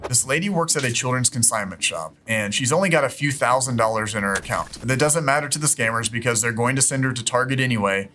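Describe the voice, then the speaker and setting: A man's voice: medium pitch, quick pace, few pauses, bright, forceful tone. A man hosting an online show, explaining things to viewers in a narrating style. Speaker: host of a scam-baiting show. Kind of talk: narration to viewers with sponsor read.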